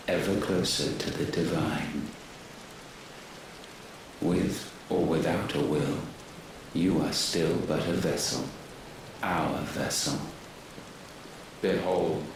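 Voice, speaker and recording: A man voicing an ancient godlike being in a fantasy video game, cold, imperious and solemn, with grandly archaic phrasing. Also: deep voice. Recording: cavernous echo, distant, hissing rain noise.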